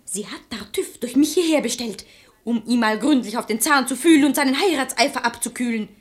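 An actress playing a saucy, quick-witted maidservant in a verse radio play, pert and theatrical. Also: female voice, a little high, close to an everyday voice. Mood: bossy scheming. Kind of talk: German radio play acting in rhymed verse.

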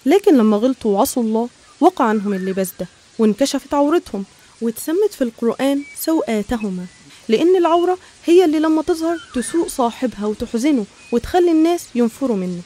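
A woman narrator with an Egyptian accent tells and explains a scripted animated story. Her voice is fairly high and mellow, and she moves along quickly.

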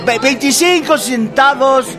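High-pitched hotel barker. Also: male voice, measured pace. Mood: hawking, eager. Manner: showman's hawking, mixing English into Spanish. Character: hotel barker hawking seats to watch a revolution. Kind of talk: radio drama acting. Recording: music and crowd behind.

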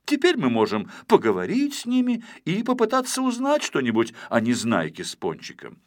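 Small confident male expedition leader for a children's tale, acted by a male reader: raised pitch, clear purpose, earnest delivery. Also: wildly swooping pitch, normal speed. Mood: reasoning.

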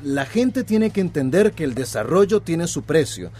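An actor playing an obsequious, condescending company representative in a radio drama, placating and deferential. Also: male voice, on the high side, big pitch swings, normal speed, warm tone. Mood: concerned, condescending.

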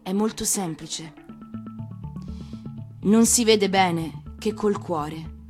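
A woman voicing a gentle, earnest female fox who explains things patiently in an expressive storybook manner. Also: mid-range voice, drawn-out words, faint music behind. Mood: tender, solemn.

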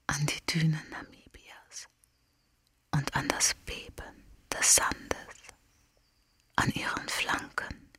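A solemn radio play narrator speaks in a hushed whisper. The voice is very husky and breathy, recorded dry, with a poetic, incantatory delivery. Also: medium pitch, no echo, full character voice.

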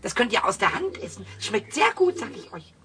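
Childish, excitable kobold for children's radio drama: piercing, very high, wildly animated, stuttering repeats. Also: male voice, fairly quick, a little hiss or hum, full character voice. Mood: excited, indignant. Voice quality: squeaky, piercing. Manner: stammering word repetitions, singsong rhyming. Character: cheeky, childish little male kobold, excitable and stubborn. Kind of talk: 1970s children's radio play acting.

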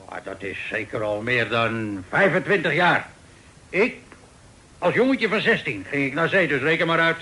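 A man's voice: on the high side, animated, normal speed, pushed and bright, breathy edge, exaggerated voice. A man acting a talkative, folksy Dutch sea captain of forty-five in an old radio play. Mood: chatty, respectful. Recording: old radio recording with hiss.

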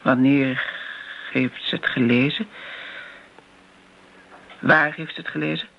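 A woman's voice: rumbling low, fairly even intonation, slow pace, gravelly, full character voice. Guarded older woman, muffled recording. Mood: defensive, probing. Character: guarded elderly woman, evasive about an old secret love. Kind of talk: radio drama acting. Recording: dull, lo-fi radio drama recording.

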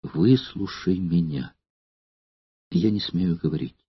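A man's voice: deep voice, lively intonation, takes it slowly, slightly rough, no room sound, lo-fi sound, pitched below the performer's own voice, exaggerated voice. A man voicing an anguished, tearful gladiator lover. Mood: anguished pleading. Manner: trembling, pleading, tearful. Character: anguished male gladiator in love.

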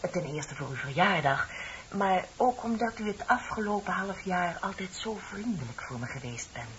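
An actress playing a chatty, flattering office secretary in her thirties in a radio play, with a polite, effusive manner. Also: fairly low pitch, moderate pace. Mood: warm, effusive. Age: 30s. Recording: dull, noisy old radio recording.

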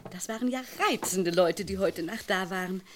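An actress playing an unruffled, gently teasing wife and novelist in a 1970s German radio crime comedy. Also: female voice, fairly low pitch, normal speed. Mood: amused, curious. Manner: light, teasing, composed. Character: amused, unruffled English wife who writes detective novels.

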